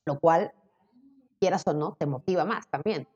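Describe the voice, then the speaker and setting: Guest, Mexican woman, late 20s, animated storyteller, low warm tone, echoey muffled recording. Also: normal speed, slightly rough. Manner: animated, laughing, quoting herself in lively bursts. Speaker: interview guest, content creator. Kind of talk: casual conversation. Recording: dull audio with long reverb.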